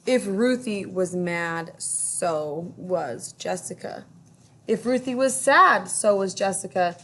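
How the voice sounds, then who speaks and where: Gentle teacher reading a picture book. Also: female voice, mid-range voice. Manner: gentle, pauses to ask young listeners questions. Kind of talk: picture book read-aloud for children.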